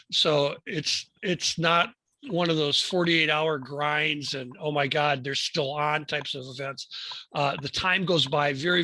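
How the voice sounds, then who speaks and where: An American man giving an informal club presentation, speaking haltingly and often repeating words and phrases as he goes. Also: fairly high pitch, sharp tone, no echo. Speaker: amateur radio club presenter.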